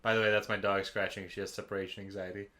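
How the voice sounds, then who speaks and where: A man's voice: fairly low pitch, little pitch movement, quick pace, sharp tone. A young man, joking and chatting informally as a podcast co-host. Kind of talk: casual chat.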